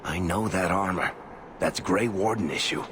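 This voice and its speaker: An actor playing a wry, roguish adventurer in a video game, tossing out offhand quips. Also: male voice, low voice, normal speed, background noise, close to an everyday voice. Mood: skeptical. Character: wry roguish male adventurer companion, unimpressed. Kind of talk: video game dramatic acting. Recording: long reverb, loud music behind.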